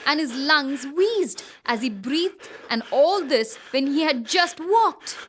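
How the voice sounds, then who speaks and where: A woman narrating a scripted children's moral story as an expressive, careful storyteller. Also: high voice, slow pace, slight background noise.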